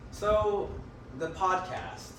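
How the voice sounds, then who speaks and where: A man's voice: high-pitched, extremely slow. A young man chatting casually as a podcast co-host, joking around and often restarting his sentences. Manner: lively, joking, many restarts. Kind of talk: casual chat. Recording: roomy echo, distant mic, noise.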